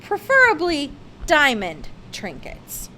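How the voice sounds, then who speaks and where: A woman's voice: high voice, wildly swooping pitch, slow, pushed and bright, a little hiss or hum, pitched above the performer's own voice, heavily acted. A woman voicing a headstrong, cheeky young society daughter in a storybook, pert and defiant. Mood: breezy, demanding.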